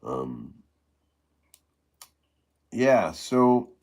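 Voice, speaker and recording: A middle-aged man with a low voice chatting casually about playing harmonica, halting and rambling with many fillers and restarts. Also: very animated, over loud music. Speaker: harmonica player giving tips. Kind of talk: casual live-stream chat.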